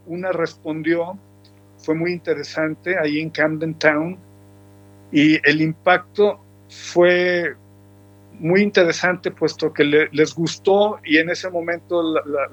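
Guy musician, kinda high voice, rambling on, dull lo-fi sound. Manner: reflective, rambling with frequent restarts. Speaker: musician guest. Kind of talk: relaxed radio interview. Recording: dull lo-fi recording with faint music.

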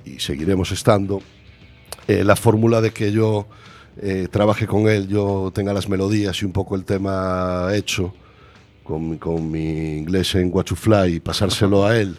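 A man with a Galician Spanish accent and a very deep voice speaks as the guest in a relaxed interview. He talks about his music haltingly, stopping to search for words and gently putting himself down. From Galicia, Spain. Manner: hesitant, self-deprecating, searching for words. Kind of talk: casual interview chat.

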